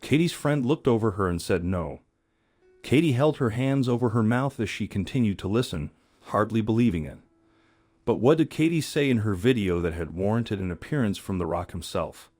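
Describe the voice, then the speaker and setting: Low-voiced man narrating a dramatic story. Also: expressive, moderate pace, a little mellow, no echo.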